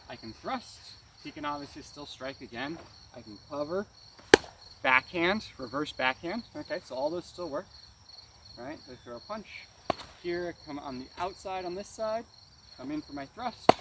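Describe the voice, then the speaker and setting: A man's voice: a little high, creaky. Distant-miked male martial arts instructor outdoors. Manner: checks understanding often, punctuates moves with exclaimed sound words. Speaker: karate weapons instructor. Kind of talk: casual hands-on demonstration lesson. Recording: distant mic, noisy outdoor ambience with insects.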